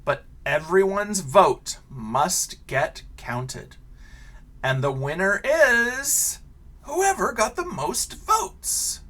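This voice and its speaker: A man who is a teacher reading a children's picture book aloud, with expressive questions and casual asides pitched at young listeners. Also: drawn-out words.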